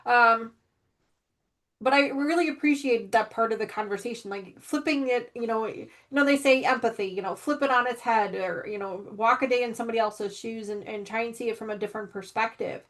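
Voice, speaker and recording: A woman guest on a livestream talking reflectively and haltingly, with many restarts. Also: a little high. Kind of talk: casual chat. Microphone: livestream mic.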